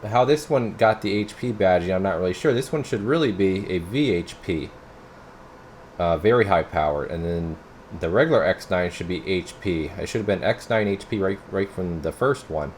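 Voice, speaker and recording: Low-voiced man, casual demo, noisy background. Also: expressive, normal speed. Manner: informal, rambling, addresses viewers as guys. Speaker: radio repairer and product reviewer. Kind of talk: casual hands-on product demo.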